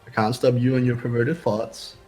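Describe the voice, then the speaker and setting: A man's voice: medium pitch, flat delivery, moderate pace, a little mellow, faint music behind, rough recording. A young man chatting casually as a player in a group game playthrough. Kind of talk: casual chat.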